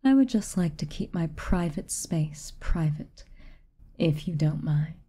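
A woman voicing a haughty, reclusive tsundere witch in a soft ASMR roleplay, aloof and commanding, at times dropping near a whisper. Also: deep voice, animated, unhurried, husky, full character voice. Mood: aloof, stern. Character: haughty, reclusive tsundere witch, stern but secretly kind.

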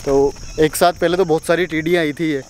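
A young man vlogging outdoors in casual chat, excitable and often laughing, filmed on a handheld action camera. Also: fairly high pitch, fairly quick, a little hiss or hum. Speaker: moto vlogger. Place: outdoors on a windy hillside by a dam. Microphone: action camera held at arm's length.

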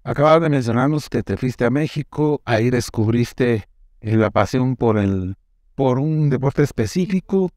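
Animated male show host. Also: medium pitch, a little slow, dry recording, studio quality. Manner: animated, emphatic, lots of gestures. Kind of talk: studio interview. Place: studio set with classroom backdrop. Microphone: lapel mic.

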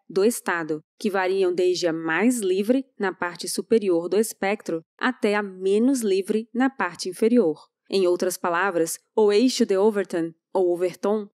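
A woman's voice: mid-range voice, a little mellow, dry recording, studio quality. A woman narrating a scripted video essay in a polemical, emphatic manner. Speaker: channel narrator.

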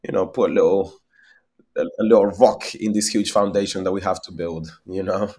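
A man's voice: deep voice, fairly even intonation, normal speed, mellow, breathy edge. A young man from Spain, a company founder, talking at length in a video call interview and often repeating words as he thinks. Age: young adult. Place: small room at home, indoors. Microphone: laptop webcam mic on a video call.

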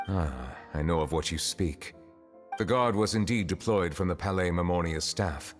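An actor playing a solemn, courteous, ageless chief justice in a video game, with formal, deliberate, reserved delivery. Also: male voice, low voice, lightly acted. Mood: formal, calm. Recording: long reverb, music underneath.

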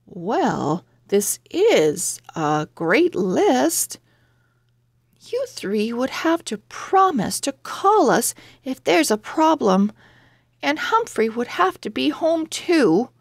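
A woman voicing a kindly, cautious parent owl in a storybook, measured and firm. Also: sits high, very animated, vocal fry, dry recording, studio quality, heavily acted. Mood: cautious approval.

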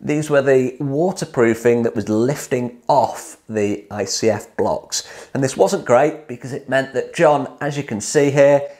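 A man's voice: mid-range voice, measured pace, a little mellow. A man in his forties with a British accent talks straight into a close mic in a clean studio. He has a chatty, upbeat, slightly salesy way of explaining things, as if he is walking a viewer through a build. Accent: British English. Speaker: DIY hot tub course creator and channel host. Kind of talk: direct-to-camera explainer.

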